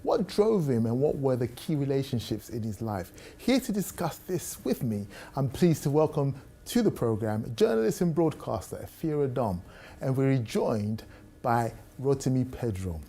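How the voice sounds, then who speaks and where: A man's voice: mid-range voice, very animated, moderate pace, gravelly, warm tone, slightly rough. A man hosting a talk program, introducing the topic and guests in a formal presenter manner. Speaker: program host. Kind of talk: scripted show introduction.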